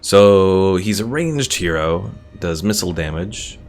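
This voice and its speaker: Casting: male game streamer, low gravelly voice, laid-back casual play commentary, thinking aloud. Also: lively intonation, measured pace, faint music behind. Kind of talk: casual gameplay commentary, reading viewer comments.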